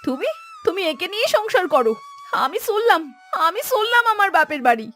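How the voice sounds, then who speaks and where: A woman's voice: very high voice, sing-song, normal speed, bright, forceful tone, soft background music, heavily acted. A woman voicing an aggrieved, fiery young village wife in a cartoon, with a complaining, melodramatic manner. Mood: outraged. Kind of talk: Bengali cartoon voice acting.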